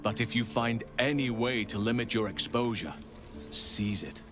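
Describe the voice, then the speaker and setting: A man voicing a composed, cautious counsellor in a fantasy video game, speaking in a measured, formal way. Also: mid-range voice, steady tone, lightly acted. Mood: cautioning. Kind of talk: video game character acting.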